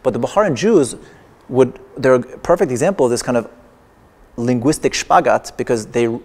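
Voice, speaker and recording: Gravelly-voiced man lecturing in an echoing hall. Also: mid-range voice, moderate pace, darkish tone. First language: Persian. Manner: wry, digressive, with playful humor. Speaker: artist giving a lecture performance. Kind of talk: lecture performance. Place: large exhibition hall in a museum. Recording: long echoing hall reverb.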